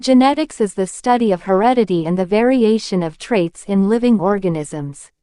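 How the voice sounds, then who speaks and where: A woman reads scripted exam practice passages in a smooth, mellow voice. Her delivery is measured and carefully enunciated. The sound is close and dry, with no room echo. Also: mid-range voice. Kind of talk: scripted read-aloud narration of practice passages.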